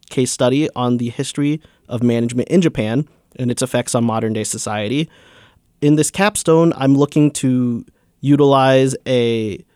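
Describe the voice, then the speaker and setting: Young male student guest, mid-pitched, eager and filler-heavy, dry close sound. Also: darkish tone, no echo. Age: young adult. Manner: earnest, filler-heavy with many likes and uhs. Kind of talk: casual interview answers.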